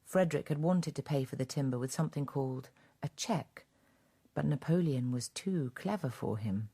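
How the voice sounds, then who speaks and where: A woman narrating a radio drama in a measured, scripted storytelling manner. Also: a bass voice, lively intonation, warm tone, breathy edge.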